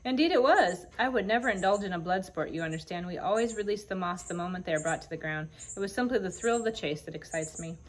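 A woman plays a tiny storybook queen with a taste for adventure. Her voice is light and clear, and she speaks graciously, with regal formality and self-assurance. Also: lowish voice, lively intonation, fast, hardly any pauses, low-quality recording. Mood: lively, assured.

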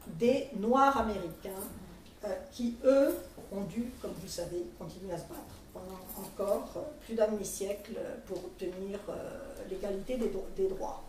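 A woman's voice: medium pitch, moderate pace, darkish tone, slight background noise. A middle-aged woman from France giving a conference talk, with many fillers and restarts, speaking from a panel table in a meeting room. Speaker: conference speaker for a centennial commission. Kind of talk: presentation at a teachers' training day. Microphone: table mic at a panel, filmed from the room. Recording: echoey room from far off.

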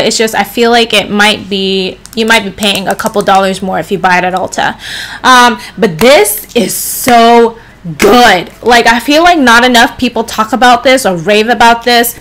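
A young woman in her twenties with a slightly raspy voice, chatting casually to camera in a bedroom as a beauty vlogger, just up from a nap. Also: a little high. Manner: chatty, expressive hand gestures, playful asides. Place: bedroom, indoors. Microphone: camera in front at desk distance.

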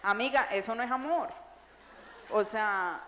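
A woman's voice: on the high side, measured pace, slight background noise. A woman in her thirties with a Colombian accent, speaking as an author on a panel, joking and making her points emphatically into a handheld mic. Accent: Colombian Spanish. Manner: animated, jokey and emphatic. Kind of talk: onstage panel talk. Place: large hall stage before an audience. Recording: echoey reverberant hall.

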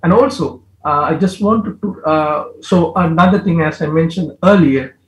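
A man's voice: a little high, takes it slowly. A film director in his forties answering festival questions over a video call from a home study, speaking through a headset and searching for English words with many restarts. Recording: roomy video-call audio.

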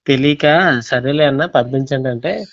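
A man's voice: medium pitch, normal speed, gravelly. A man with a Telugu accent telling his story step by step in a casual phone conversation, often quoting what was said. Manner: recounts step by step, quoting dialogue. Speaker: phone caller recounting being scammed. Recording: dull lo-fi recorded phone call.

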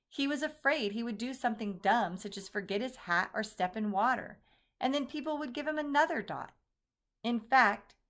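A woman reading a children's picture book aloud in an expressive storytelling manner. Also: a little high. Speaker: storybook reader.

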